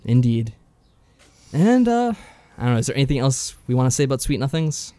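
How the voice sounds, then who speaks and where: A man's voice: medium pitch, very animated, a little fast, dark tone, dry recording. Male podcast co-host, understated and dry, casual review chat, recorded with very little room sound.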